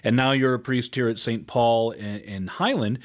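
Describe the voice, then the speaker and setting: A man's voice: mid-range voice, fairly even intonation, moderate pace. A man hosting a conversational radio interview.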